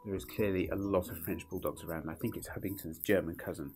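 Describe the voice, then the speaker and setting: A man's voice: low-pitched, fairly even intonation, quick pace, over music. A man who sounds sniffly with a cold, chatting informally and unhurriedly to viewers as he talks through a drawing tutorial step by step, as an illustrator and host.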